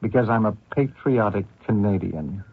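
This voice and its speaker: An actor playing a vengeful Canadian man hunting a fugitive, deliberate and taunting in an old radio drama. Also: on the low side, husky, dry recording. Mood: accusing. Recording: muffled thin old radio recording.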